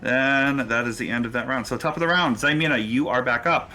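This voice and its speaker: A man's voice: mid-range voice, fairly quick, bright, forceful tone, faint music behind. A man narrating a tabletop role-playing game as game master.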